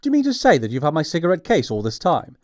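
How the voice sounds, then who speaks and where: An actor playing an earnest, easily flustered young gentleman, proper and emphatic, with an upper-class English accent. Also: fairly high pitch, wildly swooping pitch, fast. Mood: flustered, indignant. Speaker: actor in an audiobook production. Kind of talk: stage comedy acting.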